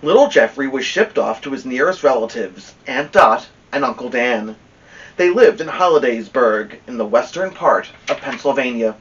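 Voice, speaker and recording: Man reading a story, swooping expressive pitch. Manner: dramatic pauses, drawn-out emphasis. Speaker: story-time host reading a children's novel aloud. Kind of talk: expressive read-aloud storytelling. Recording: dull lo-fi sound, distant from mic.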